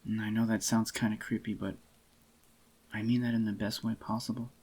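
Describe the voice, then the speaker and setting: A man with a fairly low voice plays a possessive, tender boyfriend in soft-spoken ASMR. His delivery is very flat and even, intimate and unhurried. The voice sounds slightly groggy, and he dotes closely on his listener. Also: slightly rough. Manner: intimate, doting, unhurried murmur. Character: adoring possessive young boyfriend, tender and clingy. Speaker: ASMR voice actor.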